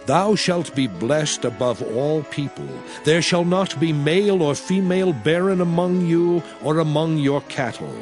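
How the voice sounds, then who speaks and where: A man's voice: on the high side, over music, natural voice. A man playing a solemn, commanding Hebrew prophet, preaching God's law in a grave, declamatory dramatized scripture reading. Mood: solemn, promising. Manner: grave, declamatory, deliberate phrasing.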